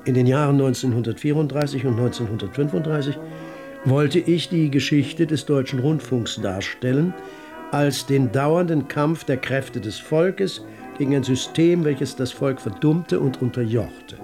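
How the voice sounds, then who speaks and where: Actor as male radio play narrator: grave, literary, dark warm tone, light music underneath. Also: medium pitch, unhurried, lightly acted. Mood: grave narration.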